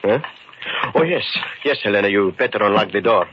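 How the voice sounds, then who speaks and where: Man playing calm immigrant shopkeeper, low pitch, formal measured phrasing, radio drama, dull muffled recording. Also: background noise, close to an everyday voice. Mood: composed. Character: calm, deliberate immigrant shopkeeper husband, quietly resolute. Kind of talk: old-time radio drama acting.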